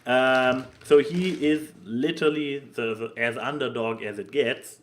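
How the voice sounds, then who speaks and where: Slow-paced man in halting chat. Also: mid-range voice, fairly even intonation. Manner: halting, stammering, searching for words. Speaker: podcast co-host. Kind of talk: casual podcast chat about anime.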